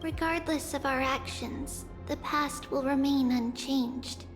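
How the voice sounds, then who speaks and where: A woman playing a composed young girl guide in a game, measured, knowing and earnest. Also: high voice, steady tone, big-room sound, over music. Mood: calm, solemn. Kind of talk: dramatic game voice acting.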